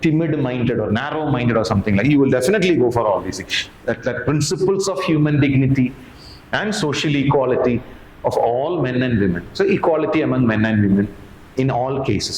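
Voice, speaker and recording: A man's voice: medium pitch, a little mellow. A man with an Indian accent giving an explanatory exam lecture as a history teacher, didactically repeating key points and putting questions to his listeners. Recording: echoey room with faint background noise.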